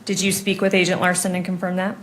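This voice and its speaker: American woman, courtroom lawyer, lowish flat voice, formal and precise, noisy room recording. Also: fast. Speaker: prosecuting attorney examining a witness. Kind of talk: courtroom questioning.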